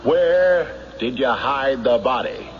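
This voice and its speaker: An actor playing a stubborn, hard-driving sheriff in an old-time radio drama, blunt, insistent and sardonic. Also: male voice, medium pitch, animated, slow pace. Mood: pressing, sardonic. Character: stubborn, hard-driving small-town sheriff, certain of guilt. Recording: noisy, muffled vintage radio broadcast.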